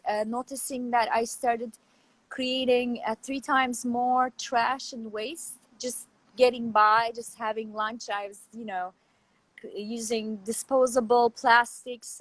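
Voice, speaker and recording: A woman with a Turkish accent, giving a casual interview over a video call as a guest, thinking aloud in halting phrases. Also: on the high side, low-quality recording. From Turkey. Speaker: guest, artist and professor. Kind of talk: casual interview talk about own background.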